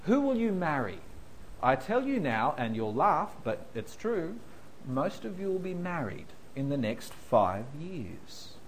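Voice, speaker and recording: A pastor preaches with his voice leaping up and down in pitch, which sits a little high for a man. He tells his own blunders with a laugh before turning to weightier points. Faint background hiss sits under the talk. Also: a little slow, rough recording. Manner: self-deprecating storyteller, animated, laughing through anecdotes. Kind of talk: sermon with humorous stories.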